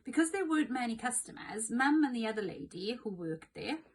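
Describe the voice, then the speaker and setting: A woman narrating a children's storybook aloud in an expressive, scripted read-along style. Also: a little high, a little fast, breathy edge.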